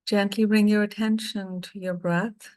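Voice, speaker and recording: A middle-aged woman leading a guided meditation, speaking softly and soothingly with long pauses between phrases. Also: medium pitch, little pitch movement.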